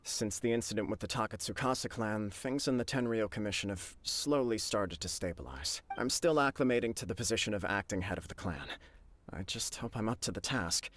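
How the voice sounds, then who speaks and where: Earnest, low-voiced young clan leader. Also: male voice, expressive, a little fast, fairly bright tone. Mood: modest. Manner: formal, modest, earnest, slightly stiff. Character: earnest, humble, dutiful young male military clan leader. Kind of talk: video game voice acting.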